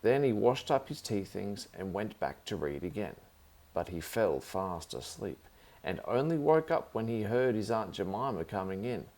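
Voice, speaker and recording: A man's voice: on the low side, very animated, normal speed, darkish tone. A man reading a children's story aloud as the narrator.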